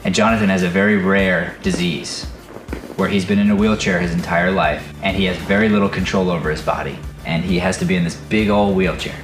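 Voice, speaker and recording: Low-pitched man talking over prominent music. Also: fairly even intonation, brisk. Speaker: podcast host and acting teacher. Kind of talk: casual podcast talk and motivational storytelling.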